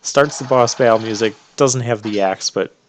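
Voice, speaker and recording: A man's voice: mid-range voice, moderate pace, a little hiss or hum. A man giving casual commentary as he plays through a video game. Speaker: video game playthrough host. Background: video game music.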